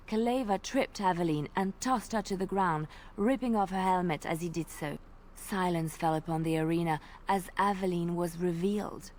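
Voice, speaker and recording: A woman voicing a graceful female bard in a video game, telling tales in a measured, lilting way. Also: fairly low pitch, slight background noise, natural voice. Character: graceful female bard, gentle devout storyteller. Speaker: game character. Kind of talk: voiced game dialogue.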